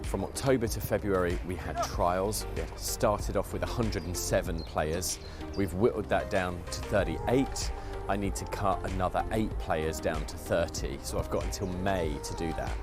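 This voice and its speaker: Deep-voiced man, a head coach. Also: moderate pace. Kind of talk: interview. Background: music.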